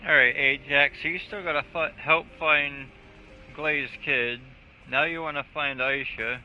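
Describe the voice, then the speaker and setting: A man's voice: on the high side, steady tone, a little slow, pushed and bright. A man chatting casually on a gaming live stream in a crude, profane, joking way. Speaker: video game live streamer. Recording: dull lo-fi audio, faint music.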